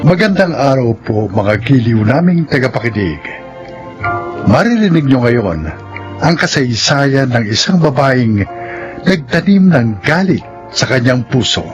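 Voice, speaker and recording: A man announcing the credits and introducing a radio drama in a slow, formal style. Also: mid-range voice, sing-song. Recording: dull lo-fi radio sound with music.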